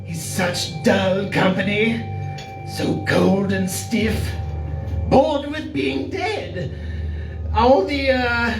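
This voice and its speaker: A woman's voice: fairly high pitch, full character voice. A woman playing a ghoulishly jovial male horror host in 1940s radio style, with macabre, theatrical delivery. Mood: ghoulishly playful. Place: live stage performance in a venue. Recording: roomy, distant mic, music behind.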